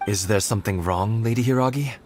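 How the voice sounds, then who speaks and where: A man's voice: lowish voice, quick pace, darkish tone, a little hiss or hum, close to an everyday voice. A man playing a wary guard in a video game, formally respectful but doubtful. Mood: wary, incredulous. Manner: formal, hesitant objections.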